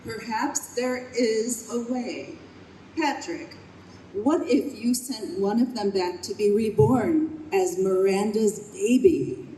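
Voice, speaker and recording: Casting: actress as knowing woman of heaven, deliberate, mellow, mid-pitched, echoey stage radio play. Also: natural voice. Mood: gently persuasive. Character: composed, knowing, compassionate female spirit of heaven. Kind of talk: old-time radio play acting. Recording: echoey noisy live stage.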